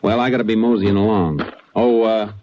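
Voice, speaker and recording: An actor playing a calm, laconic Texas Ranger with an American accent, measured and matter-of-fact in old radio drama style. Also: male voice, on the low side, a little hiss or hum, natural voice. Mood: calm questioning. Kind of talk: 1940s-50s radio drama acting. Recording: dull vintage radio recording.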